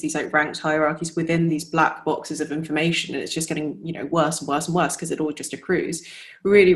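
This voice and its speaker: A man's voice: fairly high pitch, a bit bright. A man moderating a panel, speaking with lots of filler words as he passes the floor on.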